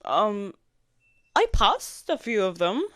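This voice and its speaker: A woman playing a jealous, protective royal knight in an intimate audio roleplay, expressive and blunt in manner. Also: medium pitch, slow pace, no room sound, clean studio sound. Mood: evasive, careful. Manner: expressive, blunt, sweary, teasing. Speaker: voice actor in a romantic audio roleplay.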